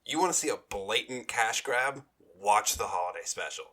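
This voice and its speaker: A man's voice: lowish voice, expressive, normal speed, sharp tone. A man co-hosting a casual fan podcast, talking emphatically and often repeating himself. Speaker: podcast co-host.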